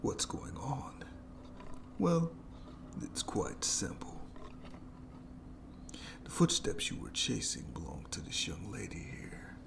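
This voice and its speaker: Slow, gravelly whispering monster. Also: low-pitched, very animated, full character voice. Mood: gloating, sly. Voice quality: breathy, heavily creaky whispery voice. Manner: slow, theatrical, mockingly polite menace. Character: gloating sadistic monster jailer lurking under the bed. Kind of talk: scripted horror ASMR roleplay.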